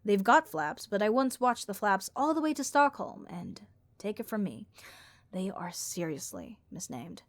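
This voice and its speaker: A snarky harpy airline copilot, played by a woman, chatty and thoroughly bored. The voice is raspy with vocal fry, mid-pitched and recorded very dry. Lines come out as overdramatic, deadpan grumbling in a comedy audio roleplay. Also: no room sound. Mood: bored, complaining. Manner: overdramatic, deadpan complaining with drawn-out emphasis. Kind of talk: comedic audio roleplay monologue addressed to listener.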